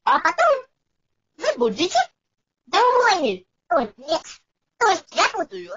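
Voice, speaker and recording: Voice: very high-pitched, huge pitch swings, slow, a bit bright, no room sound. A teacher character and narrator in a homemade cartoon, giving out homework instructions. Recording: dull lo-fi recording with noise.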